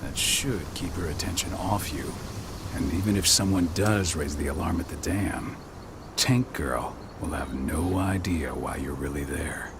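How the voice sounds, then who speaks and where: An actor playing a steady, businesslike comrade in a video game, direct and matter-of-fact. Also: male voice, extremely low pitch, unhurried, vocal fry, background noise, heavily acted. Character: steady, businesslike male comrade laying out a plan. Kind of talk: video game cutscene acting.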